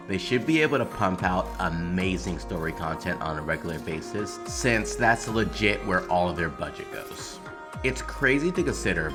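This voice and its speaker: Male gaming commentator, very low register with lively inflection, scripted opinion delivery, dry sarcastic asides. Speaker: YouTube game commentator. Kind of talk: scripted video essay commentary. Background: background music.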